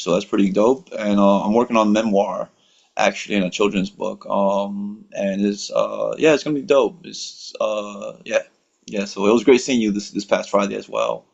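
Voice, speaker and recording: An American-born man chatting casually on a video call, rambling with lots of fillers and relaxed slang. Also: on the low side, gravelly. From United States. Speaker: workshop participant. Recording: dull lo-fi call audio.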